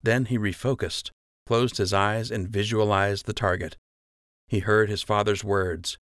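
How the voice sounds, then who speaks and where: A man's voice: on the low side, steady tone, unhurried, fairly bright tone, no echo, clean studio sound. A man narrating scripted fiction as an audiobook reader.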